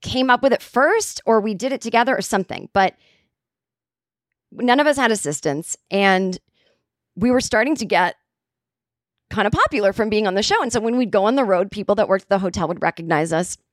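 A raspy-voiced woman in her forties, a comedian hosting a podcast, telling a story casually with plenty of swearing and acted-out quotes. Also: medium pitch, brisk, no echo, studio quality. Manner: profane, animated, acts out quotes.

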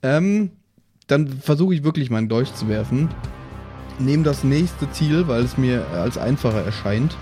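A male player joins in casual, joking table talk. His mid-pitched voice moves up and down in a lively way, with music clearly audible behind it. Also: a little slow. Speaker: player in a tabletop role-playing game. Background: background music.

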